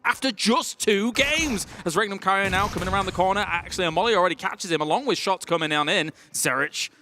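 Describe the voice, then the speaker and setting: A man casting a live esports match with excitable, playful play-by-play. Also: high voice, slight background noise. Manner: excitable, quick reactions, playful banter. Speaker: esports caster.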